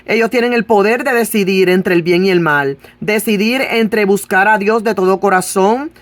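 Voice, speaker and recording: Woman, preacher giving testimony, earnest, drawn-out emphasis, many fillers, dry sound. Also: mid-range voice, no echo. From Puerto Rico. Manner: earnest, stretches key words, frequent "eh" and "¿verdad?" fillers. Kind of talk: sermon with personal testimony.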